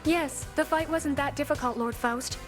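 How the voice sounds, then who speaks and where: A woman's voice: high voice, normal speed, close to an everyday voice. A woman playing a dutiful, analytical female android soldier in an English anime dub, deferential and matter-of-fact. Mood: composed. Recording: music behind the voice.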